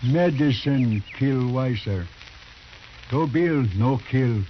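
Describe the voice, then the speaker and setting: An actor playing a proud, solemn medicine man in an old radio western, speaking halting, broken English. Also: mid-range voice, slight background noise, lightly acted. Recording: muffled old radio drama recording.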